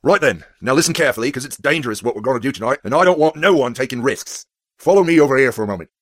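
A man voicing a huge half-giant groundskeeper in an audiobook, loud and gruff, with a plain-spoken rustic manner. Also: mid-range voice, lively intonation, racing, no room sound, studio quality. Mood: serious, cautioning. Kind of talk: audiobook character acting.

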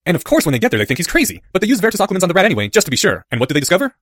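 Man playing an excitable, fast-talking nerdy schoolboy. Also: sits high, no room sound, clean studio sound, pitched above the performer's own voice, exaggerated voice. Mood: gleeful storytelling. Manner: animated, eager, wordy explaining.

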